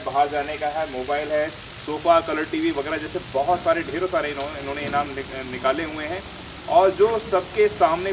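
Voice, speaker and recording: A man, presenting and interviewing on camera with a handheld microphone. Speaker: news channel host and interviewer. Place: outdoors in front of banners. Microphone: handheld news microphone. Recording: noisy outdoor sound, vehicle rumble, hiss.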